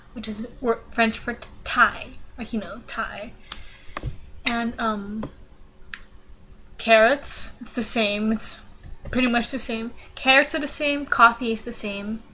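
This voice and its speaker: A woman's voice: a little high, steady tone, a little slow, pushed and bright, slight background noise, poor audio. Giggly awkward teen girl vlogger. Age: teens. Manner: giggly, awkward, many ums. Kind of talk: casual informative vlog.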